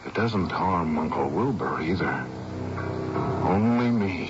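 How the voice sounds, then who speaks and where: Dull, noisy old radio recording of a haunted man in a drama. He is intense and frightened, convinced he is dying, with a low voice that swings widely and sometimes drops to a breathy hush. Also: lively intonation, slow, over music. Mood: anxious.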